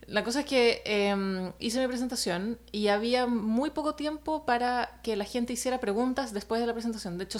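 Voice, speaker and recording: A woman, an illustrator telling a personal story in a casual chat, hesitant with many fillers. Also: medium pitch.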